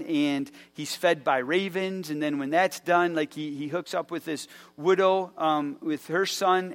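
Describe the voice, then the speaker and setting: A man delivering a sermon in a loose, conversational way, often restarting his sentences and leaning on fillers. His voice sits a bit higher than most men's, and he keeps the tone relaxed even as he tells the story with energy. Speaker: pastor.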